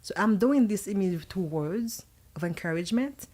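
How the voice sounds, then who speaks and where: A woman's voice: medium pitch, animated, moderate pace, mellow. A woman who is an author and coach, a guest on a radio talk show, speaking in an earnest, encouraging way. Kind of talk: interview answers.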